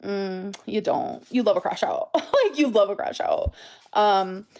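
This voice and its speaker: A woman with a medium-pitched voice gives a casual update to her online followers. She talks emphatically, circling back and repeating phrases to press her point. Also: expressive, measured pace, darkish tone. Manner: emphatic, repetitive. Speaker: YouTuber.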